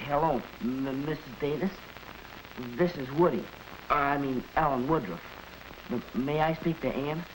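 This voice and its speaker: A man's voice: a little high, moderate pace, lightly acted, rough recording. Male actor as earnest American teen boy, 1940s educational film; hesitant, nervous, boyish; light soundtrack hiss. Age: teenager. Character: earnest, nervous teenage boy planning first date. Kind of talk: 1940s instructional film acting.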